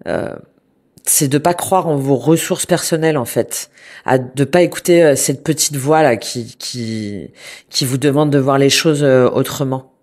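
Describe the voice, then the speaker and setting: A woman's voice: rumbling low, unhurried. Hesitant woman with a cold reading tarot. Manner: hesitant, many fillers and restarts, gentle and reassuring. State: has a cold, congested. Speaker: tarot reader. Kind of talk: spoken tarot reading to viewers.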